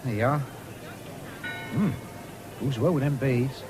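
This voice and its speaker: An actor playing a cheery, forward young farm lad with a rural English accent in a radio drama. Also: male voice, medium pitch, measured pace, music in the background, natural voice. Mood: friendly, courting. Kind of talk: 1970s radio drama acting.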